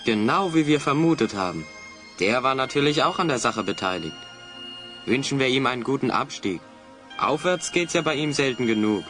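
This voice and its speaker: A man's voice: medium pitch, expressive, moderate pace, music in the background, natural voice. An actor playing a sensible, cautious hero in an audio drama, urging his companions along. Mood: dry. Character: level-headed, cautious male adventure hero. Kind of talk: German audio drama acting.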